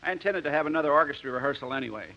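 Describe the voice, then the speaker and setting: Casual orchestra leader, radio comedy, very fast, bright voice, dull old recording. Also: male voice, fairly high pitch, animated, gravelly, exaggerated voice. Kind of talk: 1950s radio comedy acting.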